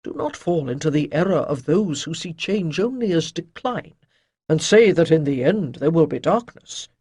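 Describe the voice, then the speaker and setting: A narrator doing a wise old priest, lively and husky. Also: mid-range voice, no room sound, clean studio sound. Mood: calm, firm. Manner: measured, declamatory, pausing for weight. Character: wise old male priest, calm gently chiding teacher.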